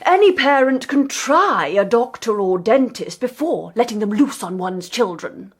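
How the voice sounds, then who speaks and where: A woman's voice: a little high, expressive, measured pace, slightly rough. A woman playing a frank, breezy, confident mother in a radio comedy-drama. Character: frank, breezy, self-assured unconventional mother.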